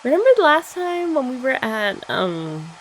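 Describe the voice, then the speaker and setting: A young woman chats playfully in an ASMR girlfriend roleplay, teasing her listener. Her voice has a gravelly fry and moves lively through her range. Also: sits high, moderate pace, slight background noise. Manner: playful, teasing, chatty with frequent laughs.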